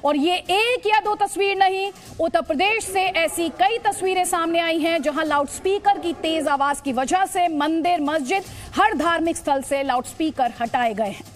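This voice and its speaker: A woman with a high voice presents a television news debate. She is emphatic, repeats her points and poses pointed rhetorical questions. Light music plays behind her. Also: moderate pace, few pauses.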